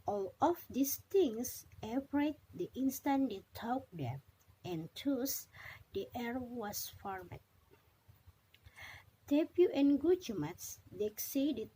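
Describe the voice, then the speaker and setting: A young woman reading a story aloud as a student narrator, with halting, deliberate phrasing and some mispronounced words. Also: on the high side, dark tone, slight background noise, rough recording. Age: young adult.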